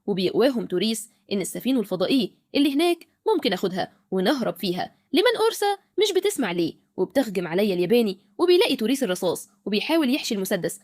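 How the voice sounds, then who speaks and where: A woman's voice: medium pitch, darkish tone, no room sound, clean studio sound. A woman with an Egyptian Arabic accent narrating a scripted recap of a film.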